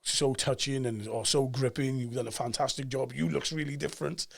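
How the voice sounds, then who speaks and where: A man in his forties with a strong Cardiff Welsh accent tells his stories at a rapid clip. His delivery is emphatic and animated, and he acts out the voices of people he quotes, at an everyday mid pitch. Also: gravelly. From Cardiff, Wales. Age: 40s. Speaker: actor and podcast guest. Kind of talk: casual podcast chat.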